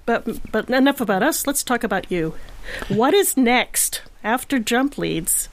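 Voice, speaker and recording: A woman's voice: on the high side, animated, a little slow. Wry woman co-hosting an interview. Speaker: interview co-host. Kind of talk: casual interview chat.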